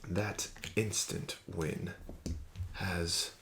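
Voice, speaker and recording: Gravelly low-voiced man, slow ASMR dealer. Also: slight background noise. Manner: smooth, flattering, unhurried dealer patter. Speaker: casino dealer. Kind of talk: ASMR roleplay.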